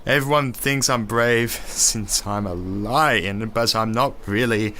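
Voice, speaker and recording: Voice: medium pitch, takes it slowly, sharp tone, slight background noise. An actor in an audio drama playing a cowardly, tearful talking lion, whimpering and pleading hesitantly.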